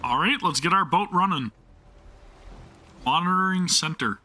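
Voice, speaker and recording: A man's voice: high voice, normal speed, pushed and bright, slight background noise. A young man chatting through a live game stream, self-deprecating and often restarting his sentences. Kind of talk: live gameplay commentary.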